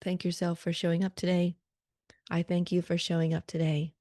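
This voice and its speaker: A woman leading a guided meditation prayer in a calm, soothing manner, leaving gentle pauses between short phrases. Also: fairly low pitch, dry recording, studio quality.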